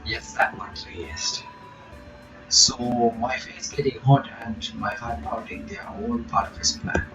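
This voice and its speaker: A man reads a visual novel aloud and comments on it. He stumbles over some words and breaks off for quick asides. His voice sounds roomy, with clear game music playing behind. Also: mid-range voice, fairly even intonation. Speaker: reaction channel host.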